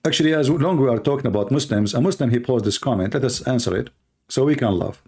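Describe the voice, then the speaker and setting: A man from the Middle East, a native Arabic speaker with an Arabic accent, hosting an informal live call-in show in a sarcastic, mocking manner with halting phrasing. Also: medium pitch, lively intonation, darkish tone, slightly rough. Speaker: host, religious apologist. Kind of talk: live call-in broadcast, rambling informal commentary.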